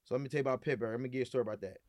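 A man's voice: mid-range voice, racing, slight background noise. Slangy Los man telling stories. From Gardena, California. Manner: animated, slangy, repeats phrases for emphasis. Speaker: podcast guest, restaurant owner. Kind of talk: casual chat, storytelling.

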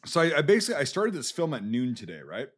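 A man's voice: mid-range voice, huge pitch swings, fast, husky. A man on a film podcast, ranting casually about a movie he disliked, swearing often and restarting his sentences as he goes. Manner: profane, exasperated, rambling with restarts.

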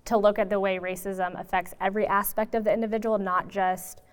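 A woman's voice: medium pitch, level tone, a little fast, clear, resonant tone. A woman speaking as a discussion guest, reflecting earnestly and conversationally on her views. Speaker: podcast guest.

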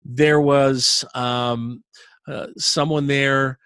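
A man's voice: mid-range voice, flat delivery, drawn-out words, a bit bright, clear, resonant tone, no room sound, studio quality. A middle-aged man, an arena announcer telling his career story as an interview guest in an upbeat, chatty way, often repeating words as he restarts. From Canada.

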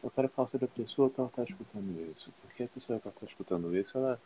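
Very fast young Brazilian man, noisy mic. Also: medium pitch, warm tone. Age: young adult. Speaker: podcast co-host. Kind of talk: casual chat with friends. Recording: dull, noisy voice-chat microphone.

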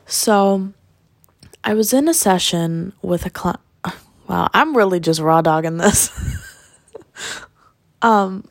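A woman hosting a casual solo podcast while sick, with a raspy voice, rambling and joking at her own expense. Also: medium pitch, slow pace, pauses often, no echo, clean studio sound. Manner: rambling and self-deprecating with jokes. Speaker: podcast host; music producer.

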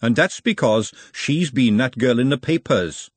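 A bossy, caring storybook woman, acted by a male reader, talks briskly and bluntly. The voice sits higher and swings about in pitch, with a rough husky grain and a dry, close sound. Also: animated, no echo. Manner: brisk, scolding, no-nonsense.

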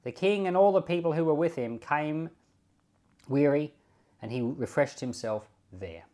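A man reading scripture and giving informal devotional commentary in a measured, deliberate way, leaning on key words. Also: a little high, expressive, dark tone. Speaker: Bible reader and pastor giving commentary.

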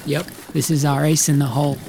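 A man's voice: a little high, flat delivery, brisk, dark tone, natural voice. A man playing a bold, blunt, folksy logger from 1890s timber country in a film drama, over ambient noise. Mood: confident. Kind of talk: dramatic film script acting.

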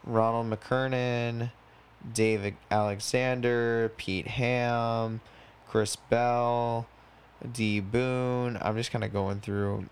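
A man's voice: medium pitch, level tone, very slow, clean tone. A man in his twenties chatting casually as a podcast co-host, rambling and slangy, swearing freely. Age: 20s. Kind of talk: casual chat.

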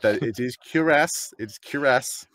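A man from the United Kingdom, a voice actor guest, chatting casually on a podcast with lots of laughter and quick put-on voices for quotes. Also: mid-range voice, a bit bright, no room sound.

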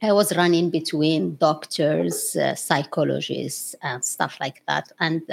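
A woman's voice: deep voice, steady tone, unhurried, gravelly. A woman from Morocco telling her story as an interview guest, speaking English as a later language with frequent laughs. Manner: laughs often, non-native English grammar.

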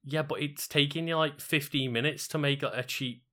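A man's voice: on the high side, moderate pace, sharp tone. A man with a Scouse accent co-hosting a stream, chatting in an easygoing, laid-back way. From Liverpool. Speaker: co-host. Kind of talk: casual stream chat.

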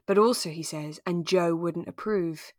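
A woman in relaxed podcast conversation, musing and thinking aloud as a co-host discussing a drama. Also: on the low side, unhurried, no echo, clean studio sound.

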